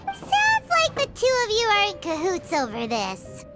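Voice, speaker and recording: A woman voicing a tiny, excitable, childlike fairy companion in a video game, with a squeaky voice over music. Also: a little slow, heavily acted. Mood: curious, chatty. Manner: squeaky, speaks of herself in third person. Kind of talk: video game character acting.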